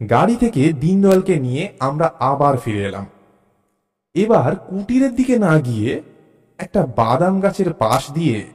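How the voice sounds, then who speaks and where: A man narrating a first-person suspense story in an expressive, dramatic way, with deliberate pauses. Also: on the high side.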